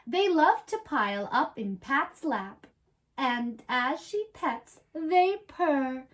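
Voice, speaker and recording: Animated high-pitched woman reading to children. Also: slow. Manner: animated, explaining things simply for children. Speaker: children's show host reading a picture book aloud. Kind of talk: expressive read-aloud storytelling with playful chat.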